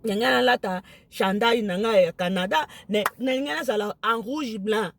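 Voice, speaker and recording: A woman with a Lingala accent, a native Lingala speaker, talking casually to camera, emphatic and excited, switching into French. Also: fairly high pitch, normal speed, creaky, a little hiss or hum. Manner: emphatic, excited, mixes French into her speech.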